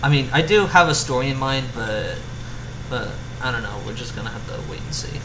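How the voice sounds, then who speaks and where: Halting man in an echoey, noisy room. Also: mid-range voice, lively intonation. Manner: halting, stumbling over and repeating words. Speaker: fan fiction reader. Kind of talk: amateur story reading and casual chat. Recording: roomy echo with clear background hum.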